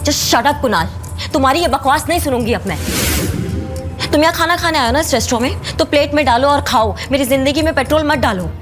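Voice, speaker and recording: A woman's voice: sits high, fairly quick, music in the background, lightly acted. A woman playing a wronged but defiant young daughter in an audio drama, speaking earnestly and with emphatic emotion. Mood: angry. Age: young adult. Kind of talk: Hindi audio drama acting.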